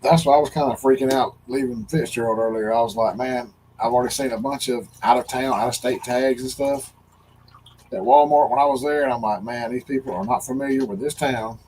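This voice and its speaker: A man's voice: mid-range voice, fairly quick, a little mellow, rough recording. A man with a Southern US accent and a mid-range voice, recorded with faint noise behind him. He talks in a folksy, rambling, joking style, like someone swapping stories on a casual livestream. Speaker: livestream co-host. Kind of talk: casual chat and storytelling. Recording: noticeable background noise.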